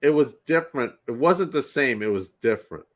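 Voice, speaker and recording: A man's voice: mid-range voice, lively intonation, a little fast. A man hosting a podcast interview in casual chat, sounding stuffed up and nasal from allergies, laughing often and repeating himself. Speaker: podcast host.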